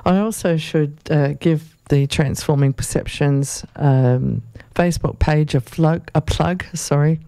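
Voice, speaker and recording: A community radio host, hoarse and losing their voice, giving station announcements and reading a media release aloud in an unhurried, halting way. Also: medium pitch, warm tone, no room sound. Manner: unhurried, halting delivery with fillers. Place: radio studio.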